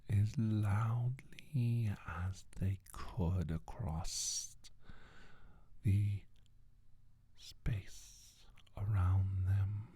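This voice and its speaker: A menacing alien scientist played by a man speaks in a very breathy, dark whisper, extremely slow and deliberate. He is scornful and condescending, a would-be conqueror in an ASMR roleplay, recorded close and dry. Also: on the low side, no room sound, exaggerated voice. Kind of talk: soft-spoken ASMR roleplay monologue.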